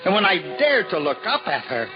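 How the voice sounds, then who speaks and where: A humble, devout juggler, earnest and self-deprecating. His pitch swings up and down with feeling. It sounds like an old radio broadcast, dull and echoing, with music under it. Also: huge pitch swings, fairly quick. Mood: awed. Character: humble simple-hearted male juggler, devout. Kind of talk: 1950s radio drama acting.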